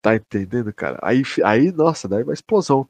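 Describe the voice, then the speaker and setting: A Brazilian man with a husky, rough-edged voice at a medium pitch co-hosts a casual podcast. He theorizes in loose slang, talking to his partner as to an old buddy. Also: animated, fairly quick, a little mellow, dry recording. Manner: slangy, addresses listener as buddy. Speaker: podcast co-host.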